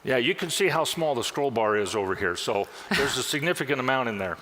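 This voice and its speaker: A man giving a conference software demonstration, walking through screens step by step in an upbeat, explanatory way. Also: mid-range voice, expressive, a little fast. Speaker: product demonstrator. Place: conference session room. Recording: long hall reverb.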